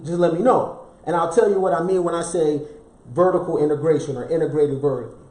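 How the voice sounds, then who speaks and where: A man's voice: a little high, darkish tone, roomy sound. A man giving a motivational self-help talk, preaching in rhetorical questions, repeating key phrases and dropping casual slang asides to his viewers. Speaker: motivational speaker.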